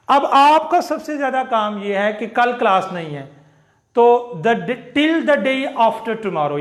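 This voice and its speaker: A man leads a live English phonetics class, drilling each sound again and again. His voice sits high and he speaks at an unhurried, deliberate pace. The recording carries a noticeable room echo. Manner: slow, repeats sounds and example phrases many times. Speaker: English teacher.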